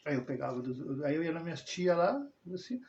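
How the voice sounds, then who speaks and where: Rambling southern man, high voice. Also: sing-song, fairly quick, a little mellow. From southern Brazil. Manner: rambling, frequent tag questions, self-corrections. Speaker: religious video host. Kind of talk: casual personal monologue. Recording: dull lo-fi sound.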